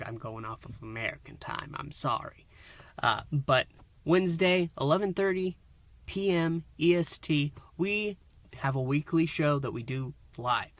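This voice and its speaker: A man's voice: medium pitch, fairly bright tone. A man hosting a podcast, giving a casual solo review of an audio drama and thinking aloud with frequent restarts and asides.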